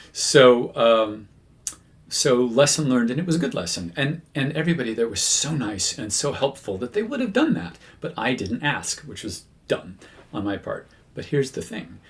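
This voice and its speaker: A man's voice: medium pitch, creaky. A man who works as a medium, talking casually to his viewers with many fillers and self-corrections. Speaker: medium, channel host. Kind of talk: casual talk to camera.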